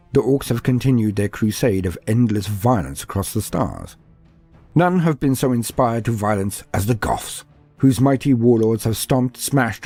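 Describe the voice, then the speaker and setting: A man's voice: fairly low pitch, warm tone, slightly rough. A man giving a scripted, dramatic narration of fantasy war lore. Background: music.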